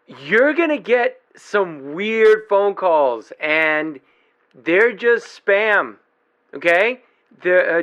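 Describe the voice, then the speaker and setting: Frantic everyman husband played by a man, high voice, very animated, unhurried stretches between halting bursts, dark comedy audio play. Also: full character voice. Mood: nervous laughing. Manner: halting, agitated, conversational. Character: frantic everyman husband fielding and leaving eerie phone messages.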